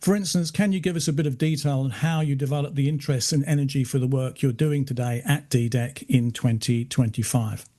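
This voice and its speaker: Measured male podcast host. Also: medium pitch, fairly even intonation, warm tone. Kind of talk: scripted podcast narration.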